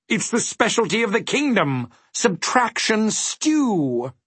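A cheerful many-faced creature from a storybook. The voice sits far above a normal man's pitch and swoops about as it patiently explains, with sing-song emphasis. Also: sing-song, takes it slowly, fairly bright tone, slightly rough, dry recording, heavily acted. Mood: pleased.

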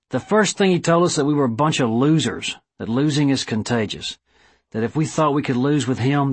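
A man voicing an aging, earnest small-town judge with a squeaky voice and a thick Southern drawl, giving an audiobook eulogy. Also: slightly rough, no room sound, close to an everyday voice. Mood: plainspoken, reminiscing. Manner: drawling, oratorical.

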